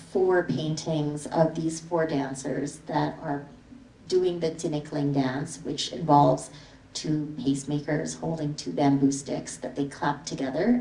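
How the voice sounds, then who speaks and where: A woman with a low voice talks a gathered audience through her paintings, easy and rambling. She grew up in the Philippines. The recording is roomy and picked up from across the space. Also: slight background noise. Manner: relaxed, meandering, many ums and likes. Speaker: artist presenting her work. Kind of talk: casual gallery talk with slides. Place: gallery event space with audience. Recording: echoey hall from far off.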